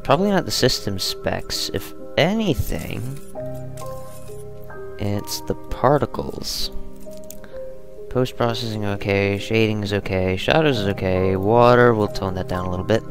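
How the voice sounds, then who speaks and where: A man with a low voice and plenty of fry talks through a video game. His delivery is casual and muttered, as if thinking aloud, with game music clearly behind him. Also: animated, measured pace. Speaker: gamer hosting a let's play. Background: game music and sound.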